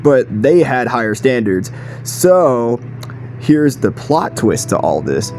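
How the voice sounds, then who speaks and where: A man's voice: medium pitch, big pitch swings, slow, a little mellow. A young man in his late teens telling a story in a chatty, slangy, self-deprecating way. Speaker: video creator. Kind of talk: casual storytime vlog. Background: music.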